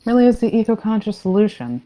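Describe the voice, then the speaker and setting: A woman playing a breezy, trigger-happy spaceship captain in a sci-fi comedy play, delivered with dry deadpan. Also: mid-range voice, fast talker, natural voice.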